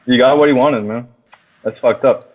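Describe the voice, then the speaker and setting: Male podcast host, mid-pitched, slangy and sweary, informal film chat, narrow telephone-like audio. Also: moderate pace. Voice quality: slangy, profane. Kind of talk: casual chat, film discussion. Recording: tinny phone-like sound.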